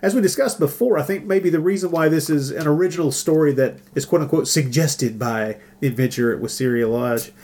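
A man talks casually as a podcast host. His voice sits a little high, and he rambles along wryly, with hesitant, searching phrasing. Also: brisk, hardly any pauses, darkish tone.

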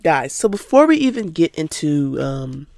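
A woman's voice: low voice, big pitch swings, normal speed, vocal fry, darkish tone, dry recording, clean studio sound. An eighteen-year-old woman from Atlanta hosting her own podcast in casual, rambling chat, sounding stuffy from a cold. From Atlanta, Georgia. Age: teens. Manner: chatty, rambling, laughs easily. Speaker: podcast host.

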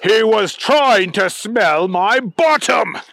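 A man's voice: a little high, animated, slow, fairly bright tone, exaggerated voice. A man voicing a pompous, easily disgusted alien space dog general in a children's story, talking in self-important, indignant declarations.

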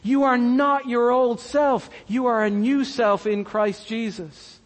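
A man's voice: very high voice, fairly even intonation, takes it slowly, in a large hall. A man from Cork with a Cork Irish accent, preaching a sermon earnestly and repeating key lines for emphasis. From Ireland, Cork. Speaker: pastor.

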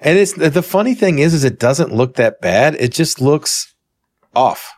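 A man's voice: fairly high pitch, expressive, measured pace, no echo. A man co-hosting a podcast with informal, slangy gaming chat and some swearing. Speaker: podcast co-host.

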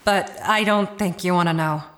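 A woman's voice: on the low side, steady tone, moderate pace, lightly acted. A woman playing an earnest, impulsive, brave adventurer in an audio drama. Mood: uneasy. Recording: loud music and rain behind.

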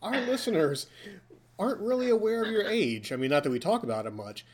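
A man's voice: high-pitched, sing-song, a little fast, a little hiss or hum. A man in his forties hosting a podcast from a hotel room, chatty and joking with his co-host. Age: 40s. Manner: joking, chatty, playful ribbing. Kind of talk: casual podcast chat.

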